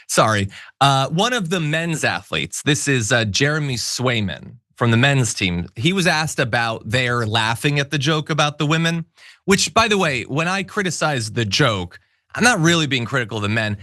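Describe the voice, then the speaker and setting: A man's voice: medium pitch, sharp tone, no room sound, studio quality. A man hosting a political talk show, giving casual, opinionated commentary in an emphatic, informal, wry way.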